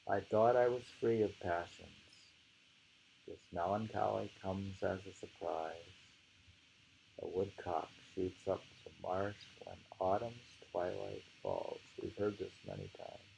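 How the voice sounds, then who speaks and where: A man reading poetry aloud and commenting on it informally, in a halting, musing way with pauses and hums. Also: deep voice, fairly even intonation, vocal fry, mellow, a little hiss or hum, rough recording. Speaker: reader and discussion host.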